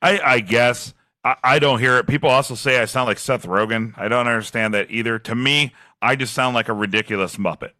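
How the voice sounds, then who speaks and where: A man with a deep voice and a slight lisp, co-hosting a casual podcast, sarcastic and self-mocking, laughing often. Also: fairly quick, few pauses, bright, forceful tone, no echo, studio quality. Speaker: podcast co-host. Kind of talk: casual chat.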